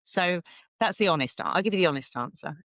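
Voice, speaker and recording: A woman's voice: fairly low pitch, animated, fairly quick, fairly bright tone, no room sound. A woman with a southern English accent, talking casually and chattily as a podcast guest, with some self-deprecation. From south of England, London suburbs. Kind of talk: casual conversational interview.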